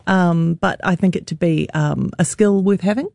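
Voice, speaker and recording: A woman's voice: on the low side, normal speed, creaky, dark tone, dry recording, studio quality. A woman panelist on a radio show, talking hesitantly with many ums. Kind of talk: casual radio chat.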